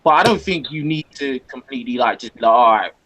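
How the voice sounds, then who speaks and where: A man from England talks as a guest on a podcast, his voice in a middle pitch range. He speaks conversationally, and he keeps pausing to check in with the others. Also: animated, a bit bright, muffled recording. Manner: conversational, keeps repeating "do you know what I mean". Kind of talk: casual podcast discussion.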